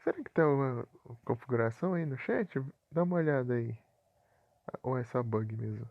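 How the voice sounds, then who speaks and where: A man's voice: medium pitch, lively intonation, normal speed, vocal fry, no room sound, lo-fi sound. A Brazilian man from Paraná streaming a game live, chatting casually with viewers and chuckling often.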